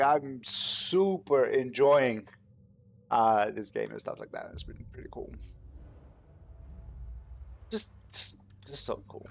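A man giving casual gameplay commentary, rambling and thinking aloud as he hosts. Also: mid-range voice. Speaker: gaming video host. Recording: clear background noise, game sound. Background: video game ambience.

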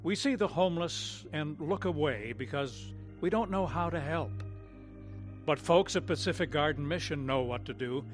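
A man narrating a scripted radio drama in a measured, solemn, theatrical old-time announcer manner. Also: fairly high pitch, animated, faint music behind.

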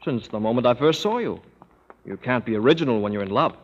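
An actor playing an earnest, gently witty young man proposing marriage in a 1930s radio drama. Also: mid-range voice, animated, fast talker, natural voice. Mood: tender. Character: earnest young suitor Felix, warm and witty. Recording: muffled old radio broadcast in a hall.

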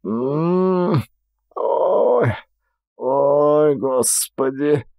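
A man's voice: on the high side, expressive, drawn-out words, gravelly, mellow, no room sound, voice pushed up, heavily acted. Man acting a groaning, pitiful peasant. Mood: pained, wailing. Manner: groaning, moaning, wailing. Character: pitiful, frightened, scrawny peasant man caught stealing gold. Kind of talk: audiobook drama acting.

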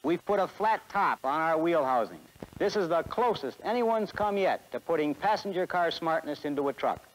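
A man's voice: fairly high pitch, animated, moderate pace, slight background noise, low-quality recording. A man in his forties with an American accent giving a scripted sales presentation in a 1960s promotional film. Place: film studio beside a truck.

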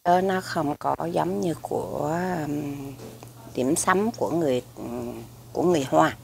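Low, gravelly Southern Vietnamese woman. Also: a little slow, a little hiss or hum. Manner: chatty, many fillers, repeats words. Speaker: food vlogger. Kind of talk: casual food review to camera. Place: restaurant table by a window, indoors. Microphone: camera across the table.

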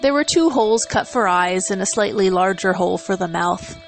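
A woman narrates a story in a dramatic reading. Her delivery is measured and expressive, at a middle pitch, with music playing behind her.

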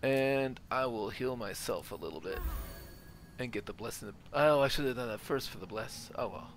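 Man, casual gaming commentary, medium pitch, thinking aloud, faint noise and game ambience behind. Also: lively intonation, normal speed. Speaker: gaming video host. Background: video game ambience.

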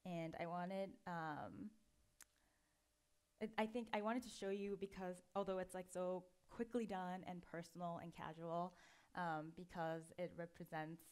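A woman in her thirties giving a relaxed, chatty talk at a conference, often pausing with ums. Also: lowish voice, smooth. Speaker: conference speaker. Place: dark auditorium, at a lectern before an audience.

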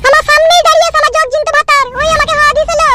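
A woman voicing a shrill, quarrelsome, demanding village wife in a comedy cartoon, scolding crudely. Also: little pitch movement, very fast. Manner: scolding, foul-mouthed.

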